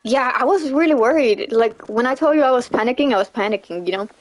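A woman's voice: a little high, fast, rough recording. A young woman streaming a game, chatting casually with viewers and laughing often. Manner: chatty, laughs often, repeats "you know what I'm saying". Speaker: livestreamer. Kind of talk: casual chat while gaming, reading chat.

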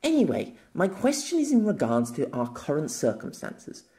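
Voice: medium pitch, huge pitch swings, moderate pace, warm tone. A storyteller voicing a proud, playful young noblewoman in an audio novel, with arch, formal diction. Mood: eager, theatrical.

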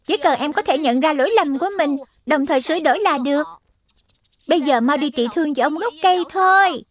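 A woman's voice: high-pitched, brisk, close to an everyday voice. A woman voicing a small, kindly childlike guide who speaks instructively in a dubbed children's cartoon. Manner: didactic, childlike. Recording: music behind the voice.

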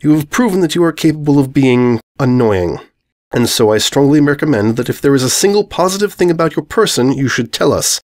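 A man's voice: medium pitch, animated, a little fast, pitched above the performer's own voice, natural voice. A man voicing a stern, haughty pony princess who speaks formally and with sarcasm in a storybook reading.

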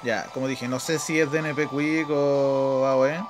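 A man with a Chilean accent talks his way through a video game in an average-pitched voice. His commentary is casual and unscripted, often muttered as if thinking out loud. Game music plays clearly behind him. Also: fairly even intonation, normal speed. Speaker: gaming video host. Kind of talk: casual off-the-cuff gameplay commentary. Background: video game battle music.

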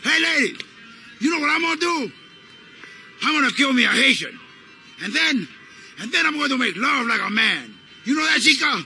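An actor playing a boastful, hot-headed macho Cuban gangster with a Cuban accent, excitable, in a video game. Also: male voice, very high voice, normal speed, long pauses, faint music behind, heavily acted. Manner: excitable, swaggering. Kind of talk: video game cutscene acting.

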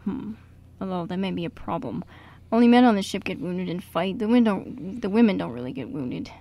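A woman playing an excitable, old-fashioned male theater director in an amateur radio play. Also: medium pitch, fairly quick. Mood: musing.

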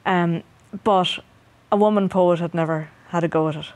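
Irish woman poet, soft dry voice. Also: on the low side, steady tone, no room sound. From Ireland. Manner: measured, hesitant with many ums. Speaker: poet guest. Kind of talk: interview and poetry reading. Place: TV studio set.

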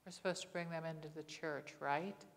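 A woman's voice: low-pitched, fairly even intonation, fairly quick, gravelly. Middle-aged woman pastor preaching. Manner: conversational preaching, frequent rhetorical questions. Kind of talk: sermon. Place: church sanctuary. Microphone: lapel mic. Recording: long church reverb.

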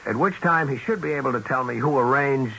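An actor playing a defiant, sardonic police detective with insistent questioning, in 1970s radio drama style. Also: male voice, medium pitch, brisk, creaky, pushed and bright. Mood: wry, deliberate. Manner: insistent, wry, clipped questioning. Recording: dull, noisy old radio broadcast.

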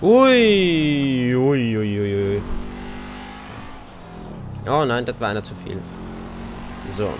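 A man's voice: medium pitch, expressive, extremely slow, long pauses. A young man with an Austrian German accent giving casual, chatty commentary over his gameplay and cursing at other drivers. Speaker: let's play gamer. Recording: game car noise under voice.

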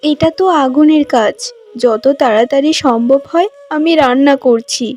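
A woman's voice: sits high, moderate pace, a little mellow, lightly acted. A woman playing a patient young village bride in a cartoon, pleading and deferential. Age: young adult. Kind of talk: cartoon voice acting. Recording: music behind the voice.

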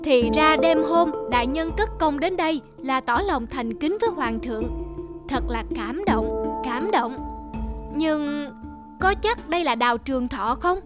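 Brief: wry honest cartoon official voiced by a woman, highish and even. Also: measured pace, over loud music. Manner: dry, politely skeptical. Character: calm wry honest male court official.

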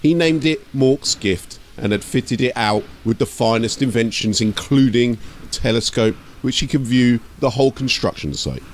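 A man's voice: mid-range voice, normal speed, hardly any pauses, a little hiss or hum. A man narrating from a script as an audiobook storyteller and host.

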